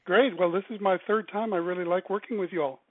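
A man's voice: high voice, steady tone, fast, no room sound. A middle-aged man from South Texas, a ministry director, speaking as a guest in a radio interview, earnest and rambling with many fillers. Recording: tinny phone line.

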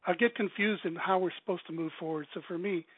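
A middle-aged man from South Texas speaks earnestly as a radio guest, often rambling and filling pauses. His voice is fairly high. He comes through a tinny phone line with a dry sound. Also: steady tone, husky, dry recording. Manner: earnest, rambling, many fillers. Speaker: ministry director, guest.

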